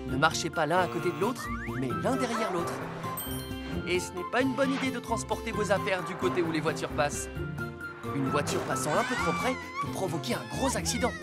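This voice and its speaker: An actress voicing a friendly rescue police car in a cartoon, calmly teaching children road safety rules. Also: female voice, fairly low pitch, fairly quick, over loud music. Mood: patient. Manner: calm, instructive.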